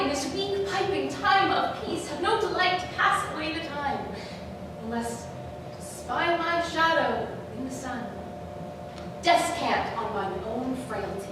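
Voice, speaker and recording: Woman doing scheming bitter shakespeare villain, theatrical and halting, super echoey noisy room. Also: fairly high pitch. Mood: bitter, self-loathing. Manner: dramatic, halting delivery with weighted pauses. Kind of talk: theatrical Shakespearean staged reading. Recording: very echoey noisy hall, distant mic.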